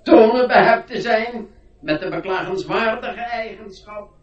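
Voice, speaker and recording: Strict radio-play schoolmaster, pompous and sarcastic, high voice, slow delivery, echoing room. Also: male voice, far from the mic, lo-fi sound. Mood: scornful, strict. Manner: pompous, scolding, deliberate. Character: stern, pompous male schoolmaster, scolding and sarcastic. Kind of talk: radio drama acting. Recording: roomy, set back from mic.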